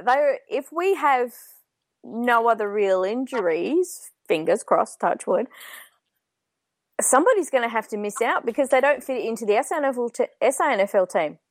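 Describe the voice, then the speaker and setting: An Australian woman co-hosting a casual football chat, her voice slightly croaky, talking in a joking, chatty way. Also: on the high side, expressive, normal speed, no echo, clean studio sound. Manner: chatty, joking, laughs often. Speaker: podcast co-host.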